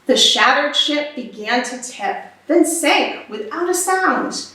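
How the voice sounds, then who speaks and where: High-pitched woman reading a storybook, very echoey. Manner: animated, deliberate storytelling. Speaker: storybook reader. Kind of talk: expressive read-aloud for children. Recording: very echoey room, distant microphone.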